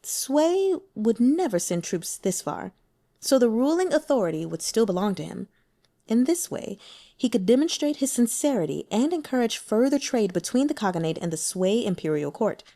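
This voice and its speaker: Woman, audiobook narrator, widely varied intonation, soft-edged mellow tone, scripted storytelling. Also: fairly high pitch, big pitch swings, moderate pace. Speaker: audiobook reader.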